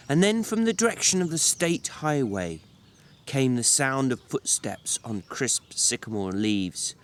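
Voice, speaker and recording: A man narrating a novel as a dramatic literary reading, with measured, deliberate phrasing. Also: mid-range voice, a bit bright.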